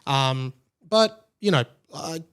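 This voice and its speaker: A man's voice: medium pitch, lively intonation, takes it slowly, fairly bright tone, smooth. A man with an Australian accent hosting a podcast alone, talking casually in a rambling, conversational way. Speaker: podcast host. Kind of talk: casual solo podcast talk.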